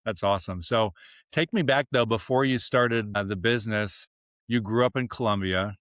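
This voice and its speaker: A man's voice: fairly low pitch, normal speed, fairly bright tone, no room sound, clean studio sound. A man hosting a podcast interview, asking questions in an easygoing way with fillers. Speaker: podcast host. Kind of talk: casual interview chat.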